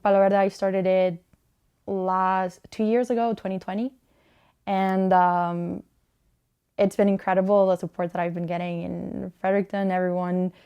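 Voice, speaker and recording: Clear, smooth-voiced young woman from Honduras. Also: medium pitch, a little mellow, muffled recording. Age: 20s. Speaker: small clothing business owner, interviewee. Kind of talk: casual interview monologue about own work.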